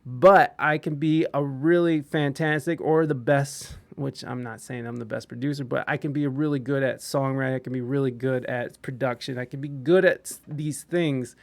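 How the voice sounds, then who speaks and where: A man's voice: medium pitch, fairly even intonation, normal speed, vocal fry, few pauses, no echo. A young man hosting a casual podcast, talking loosely, swearing freely and getting emphatic. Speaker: podcast host.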